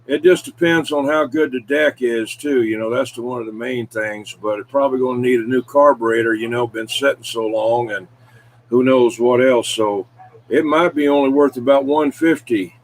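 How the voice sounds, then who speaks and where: A man's voice: mid-range voice, moderate pace, poor audio. A man chatting casually as host of a CB radio show, folksy and full of radio lingo. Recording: background noise.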